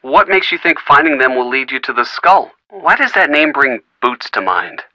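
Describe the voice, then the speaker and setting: A man's voice: mid-range voice, sing-song, moderate pace, sharp tone, no room sound, poor audio. A man voicing a teasing, supportive young male friend talking on the phone in a video game. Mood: wry. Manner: teasing, wry, easygoing. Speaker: phone friend of the player character.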